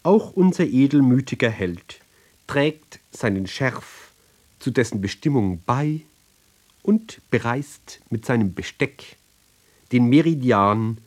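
Guy doing a slow literary radio reading, medium pitch, deliberate, lots of pauses between phrases. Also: animated, a little mellow. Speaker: reader. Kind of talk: measured literary recitation for radio.

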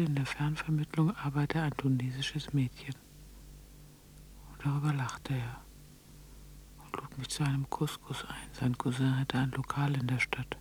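An actress playing a wistful, lonely telephone operator in a radio drama monologue, hushed, breathy and restrained. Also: female voice, very deep voice, slight background noise. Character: wistful, lonely telephone operator recalling her one chance.

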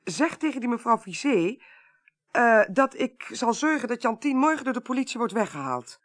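A brisk, confident professional woman in a radio play. Her voice is husky with lively movement in pitch. She speaks directly and in a businesslike way, in a dry studio sound. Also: no echo. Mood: urgent, businesslike. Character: brisk, self-assured professional woman investigating a case.

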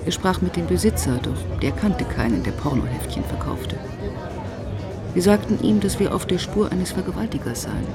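An actress playing a police inspector who narrates her case in a sober, measured way for a radio crime drama. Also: on the low side, lively intonation, loud music behind the voice, a loud crowd, lightly acted. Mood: sober, tense.

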